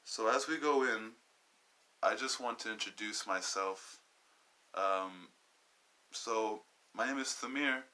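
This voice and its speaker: A man in his twenties from Southern California, hosting a personal podcast monologue, halting and wandering as he searches for words. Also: lowish voice, pushed and bright. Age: 20s. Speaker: podcast host.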